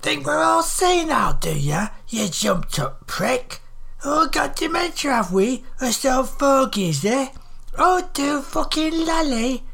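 An indignant old Northern English woman. Her voice is high and swoops up and down as she berates people. She is confrontational, sweary and bossy. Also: big pitch swings, unhurried, a bit bright, full character voice. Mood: livid. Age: older. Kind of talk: audiobook character voice.

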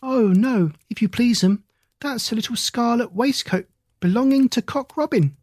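A woman voicing a high, fussy hedgehog washerwoman. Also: moderate pace, darkish tone, breathy edge, dry recording, clean studio sound. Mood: fussy polite. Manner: bobbing, deferential, old-fashioned polite. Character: stout anxious female hedgehog washerwoman.

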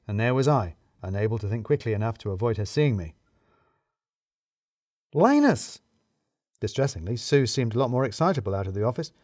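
A man narrating a scripted first-person comic story in a wry, theatrically self-pitying way with deliberate emphasis. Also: mid-range voice, a little mellow, dry recording, clean studio sound.

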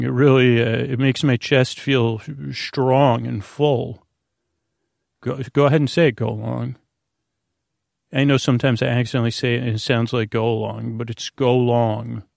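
A man with a creaky voice, improvising a meandering, halting bedtime podcast monologue full of asides and self-interruptions. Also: medium pitch, little pitch movement, a little mellow, no room sound, studio quality. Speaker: podcast host.

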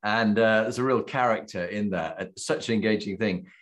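Low-voiced man hosting an interview. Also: moderate pace, a bit bright. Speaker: interviewer and host. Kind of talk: warm-up interview chat.

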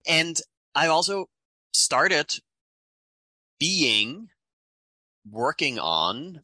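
Austrian man, bright, dry-sounding voice. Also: on the high side, dry recording. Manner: animated, many "right?" tags. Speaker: guest, climate economist. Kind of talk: conversational interview.

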